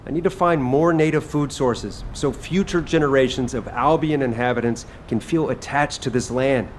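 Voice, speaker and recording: A man's voice: mid-range voice, normal speed, a little hiss or hum, lightly acted. A man playing an upbeat young chef and war hero in a video game, speaking in an earnest, encouraging way. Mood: earnest, determined. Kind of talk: video game cutscene dialogue. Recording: game music behind the voice. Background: background music.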